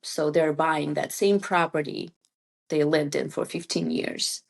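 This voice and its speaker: A woman's voice: low-pitched, fairly even intonation, unhurried, vocal fry. A woman whose first language is Russian, chatting casually and informally as a real estate agent being interviewed.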